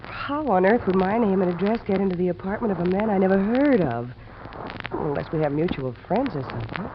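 Through the noise of a muffled vintage broadcast comes a troubled American woman, played by an actress. Her delivery is dramatic and halting, guarded and fatalistic, in fifties radio drama fashion. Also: mid-range voice, animated, close to an everyday voice. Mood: guarded, puzzled. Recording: muffled, noisy old-time radio recording.

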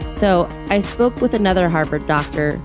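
A woman with a fairly low voice narrates a video news report. Her delivery is scripted, earnest and measured. Faint music plays behind her. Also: animated.

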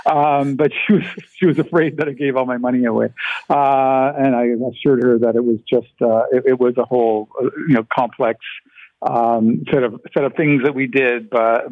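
A man in his sixties, a guest artist whose first language was Ukrainian, answering interview questions haltingly with many restarts. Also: mid-range voice, no echo. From Canada. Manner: hesitant, many restarts and fillers. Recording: tinny dry phone line.

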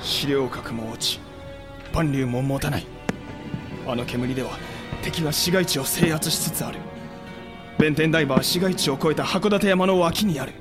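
A man's voice: fairly high pitch, moderate pace, long pauses, over music, lightly acted. A male actor playing a blunt, terse, cool-headed samurai warrior commander in a historical audio drama. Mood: grim.

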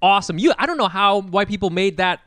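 A man co-hosts a podcast, talking in an animated, rambling way full of fillers and swearing, often laughing mid-sentence. His voice is slightly high for a man and sounds close and dry. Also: fast, no echo. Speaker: podcast co-host. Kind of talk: casual chat.

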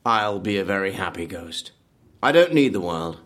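An actor playing an eccentric theme park designer in an audio drama, intense and morbidly enthusiastic, speaking in terse bursts. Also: male voice, low voice, animated, moderate pace, fairly bright tone. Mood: dreamy, eager.

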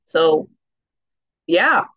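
A woman with a deep, rich, round alto voice, a singer chatting in an interview, animated, laughing often and saying "um" and "like" a lot. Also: drawn-out words, no room sound, highs cut off. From United States. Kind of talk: casual interview conversation.

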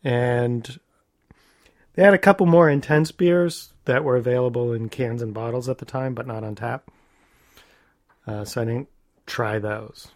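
A man's voice: medium pitch, a little slow, pauses often. A man co-hosting a casual podcast, answering in short, agreeable replies. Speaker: podcast co-host. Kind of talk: casual conversational podcast chat.

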